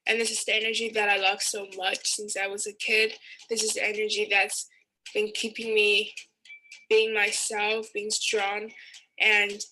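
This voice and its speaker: Bright-voiced young woman, evenly pitched. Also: completely flat delivery, measured pace, rough recording. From China. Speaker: student. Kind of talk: personal testimonial to camera. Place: bedroom at home.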